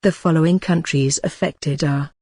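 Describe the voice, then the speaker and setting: A synthetic woman's voice reading an emergency broadcast and a long list of countries in a flat way. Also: deep voice, normal speed, slightly rough, no room sound, studio quality.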